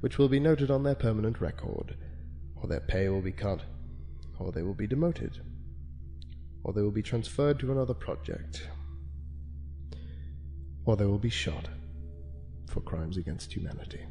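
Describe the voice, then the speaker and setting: A man playing a calm, menacing senior official of a secret committee, speaking in a measured, condescending, authoritative way in a dramatic audio-log monologue. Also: fairly low pitch. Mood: cool, ominous.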